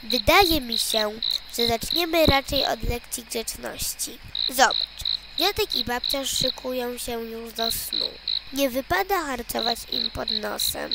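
A proud, sleepy grown tomcat, played by a woman in a children's radio play. The delivery is slow, gravelly and patronising, over loud noise with chirping insects. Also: a little high. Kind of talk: home-made children's radio play acting.

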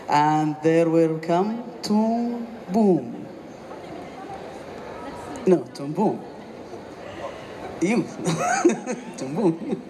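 A man's voice: high voice, warm tone, a little hiss or hum. A man moderating a panel with a handheld mic, joking and laughing as he sets up questions. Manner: jokey, laughs between questions.

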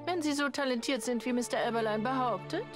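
A woman's voice: high voice, fast talker, music in the background, lightly acted. An actress playing a stately middle-aged queen in a game cutscene, formal and commanding. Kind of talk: dramatic game cutscene acting.

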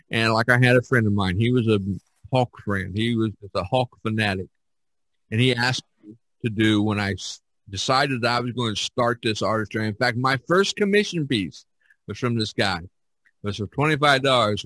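A man talks casually as a podcast host, in a mid-pitched voice. He goes haltingly, often doubling back to restart a phrase. The sound is dry, with no room echo. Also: a bit bright.

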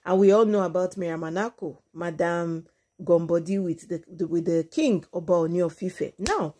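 A woman's voice: lowish voice, normal speed, warm tone. A woman with a Nigerian accent giving informal celebrity gossip commentary as an online channel host, mixing English and Yoruba. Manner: switches between English and Yoruba.

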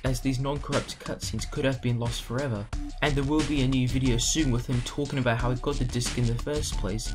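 A man presenting game footage as a video creator, reading scripted narration. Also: medium pitch. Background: music.

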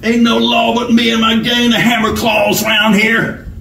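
Man doing a swaggering highway robber, echoey and distant. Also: completely flat delivery, unhurried, bright, forceful tone, exaggerated voice. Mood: gloating, threatening. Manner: rough, folksy, bullying drawl. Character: swaggering, menacing male highway robber with blunderbuss. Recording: roomy, distant, noisy home recording.

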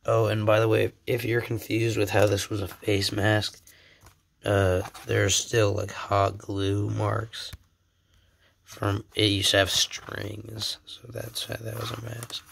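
A man's voice: on the low side, completely flat delivery, slow, gravelly, a bit bright, slight background noise. A young man hosting a casual show-and-tell vlog at home, a little sick, rambling and self-deprecating, often dropping into whispers. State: sick, voice slightly off. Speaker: channel host.

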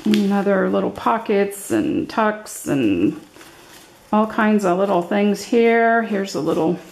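An older woman giving a casual, unscripted show-and-tell as a craft vlogger, halting and thinking aloud as she goes. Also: mid-range voice, animated, gravelly, darkish tone, rough recording. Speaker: craft vlogger showing her handmade journals. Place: indoors at a table, hands-only overhead camera.

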